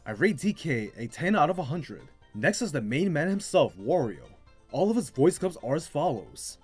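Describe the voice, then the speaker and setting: A man's voice: on the high side, sing-song, normal speed, a little mellow, breathy edge, no echo. A man delivering scripted narration as the host of a video game commentary. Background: music.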